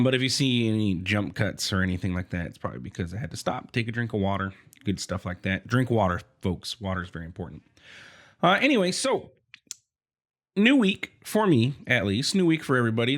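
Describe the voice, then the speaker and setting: A man with a head cold, sounding congested, casually commenting on his gameplay as the host of a video series. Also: medium pitch. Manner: chatty, rambling, friendly asides. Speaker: let's play host.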